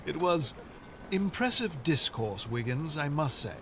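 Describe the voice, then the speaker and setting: A genial, no-longer-young teacher in an audio drama. He is polite and formal, with a swooping, animated delivery over noticeable street ambience. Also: on the high side, unhurried, a little hiss or hum. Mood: admiring. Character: genial, courteous male schoolteacher, no longer young.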